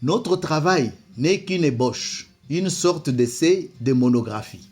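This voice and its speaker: A man's voice: on the high side, lively intonation, slow, a little mellow, slightly rough, faint music behind. A man, not a native French speaker, giving commentary and reading from an old document, hesitant with many repeated words. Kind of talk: slow spoken commentary reading from a book.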